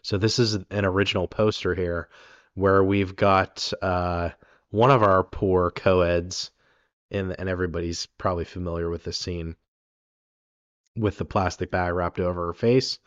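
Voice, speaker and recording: A man co-hosting a casual film talk, his voice raspy from being sick, rambling with many ums and restarts. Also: low-pitched, fairly even intonation, unhurried, dry recording, dull audio. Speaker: podcast co-host.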